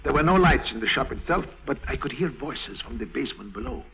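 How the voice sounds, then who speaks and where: An actor playing a pompous, jealous, gullible middle-aged bank official in a theatrical 1950s radio drama style. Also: male voice, mid-range voice, fairly quick, creaky, breathy edge, full character voice, poor audio. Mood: confiding. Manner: theatrical, fussy, self-important, excitable. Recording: old 1950s radio broadcast recording.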